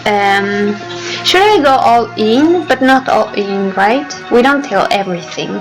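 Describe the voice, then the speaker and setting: A woman's voice: medium pitch, expressive, a little slow, faint music behind, poor audio. A young woman from Chile with a Chilean accent, a native Spanish speaker hosting a casual livestream as a game developer and talking hesitantly with many fillers and giggles.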